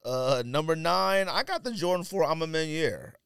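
A man's voice: on the high side, lively intonation, moderate pace, gravelly, a bit bright, clear, resonant tone, no echo, studio quality. A man co-hosting a podcast, chatting casually in a slangy, offhand way about his picks. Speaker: podcast co-host. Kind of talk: casual sneaker and sports podcast banter.

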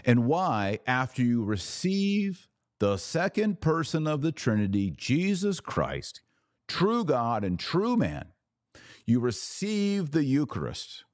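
A man's voice: mid-range voice, no echo, studio quality. A man hosting a livestreamed commentary podcast, talking emphatically with sarcastic, mocking asides. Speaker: podcast host.

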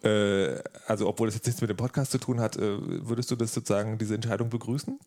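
A man's voice: lowish voice, fairly even intonation, fast, darkish tone. A man hosting a podcast in a casual, playful way, often breaking off and rephrasing his sentences. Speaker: podcast host. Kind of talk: casual podcast moderation, conversational.

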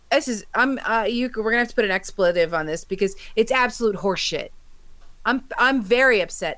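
A woman's voice: a little high, fairly bright tone, no echo. A woman on a podcast panel talking casually and bluntly, with an emphatic, exasperated delivery. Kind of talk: casual opinionated panel discussion.

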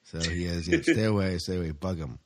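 Male podcast co-host, low register, bantering and excitable, casual delivery, close dry sound. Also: brisk, no room sound.

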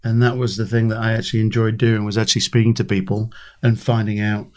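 Croaky man telling stories. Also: fairly low pitch, little pitch movement, quick pace, a little mellow. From England. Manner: anecdotal, self-deprecating, laughs easily. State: croaky from a cold. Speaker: guest, former detective. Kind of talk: casual storytelling interview.

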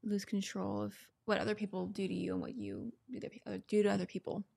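A young woman with an American accent chats casually as a podcast co-host. Her medium-pitched voice has noticeable creak, and she poses questions with curiosity and a little hesitation. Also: little pitch movement, quick pace, darkish tone. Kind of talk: casual question and answer chat.

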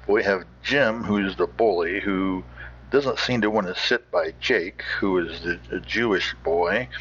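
An American man hosting with casual, opinionated commentary in a folksy, halting, rambling way. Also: sits low, sharp tone, husky, dull audio. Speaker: host.

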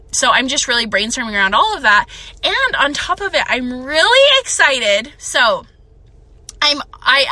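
Young woman host, solo phone podcast, very bright, dry close sound, nasal from a cold, rambly and excited. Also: fairly high pitch, no echo. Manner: chatty, excitable, rambling with fillers. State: stuffed up with a cold. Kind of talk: casual solo podcast monologue.

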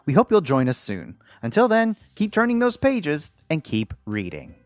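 A man's voice: a little high, wildly swooping pitch, normal speed, dry recording, faint music behind. A man who hosts a podcast, delivering a scripted promo and sign-off. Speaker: podcast host.

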